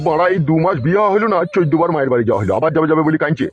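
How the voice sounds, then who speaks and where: Male actor as cartoon father-in-law, older, exasperated, husky, rapid cursing, muffled lo-fi sound. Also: on the high side, animated, soft background music. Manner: scolding, cursing, blustering. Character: foul-mouthed quarrelsome village father-in-law, exasperated older man. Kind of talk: comic cartoon dialogue. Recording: dull lo-fi dubbed audio.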